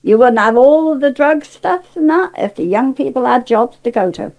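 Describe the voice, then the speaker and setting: A woman's voice: high-pitched, lively intonation, normal speed, darkish tone. An older woman with a Cornish accent, speaking in an emphatic, forthright and indignant way as she gives her opinions in conversation. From Cornwall, England. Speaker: interviewee.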